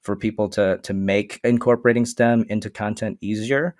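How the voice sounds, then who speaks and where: Low-voiced man, native Vietnamese speaker. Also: steady tone, normal speed, a little mellow, no room sound, clean studio sound. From Michigan, USA. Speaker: guest, STEM consultant. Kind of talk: casual podcast interview.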